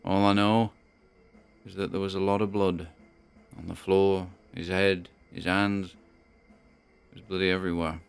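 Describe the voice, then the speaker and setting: A man acts out a troubled British grandson speaking into his audio diary. His voice is low-pitched, and he talks in a halting, conversational way, as if confiding privately. It is a monologue from an audio drama. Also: flat delivery, gravelly, clean tone. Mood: brooding, uneasy.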